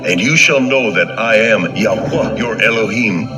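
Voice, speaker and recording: Very deep solemn God voiced by a man. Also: measured pace, natural voice. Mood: solemn, resolute. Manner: solemn, declarative. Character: God of Israel, commanding, solemn deity. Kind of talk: audio scripture dramatization. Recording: music under the voice.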